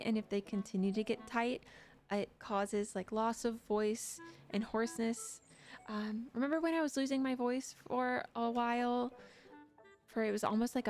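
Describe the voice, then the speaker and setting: A young woman with a fairly high voice chats casually with her livestream viewers, giggling often as she talks. She speaks into a desk microphone, and faint game music plays underneath. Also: a little mellow. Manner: giggly, chatty, talks to chat. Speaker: streamer.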